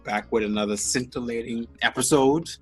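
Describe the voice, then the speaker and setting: Casual male podcast co-host, mid-pitched, reflective and easygoing. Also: brisk, husky, soft background music. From Bahamas. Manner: relaxed, thoughtful, many fillers like "you know". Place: New York area, remote call.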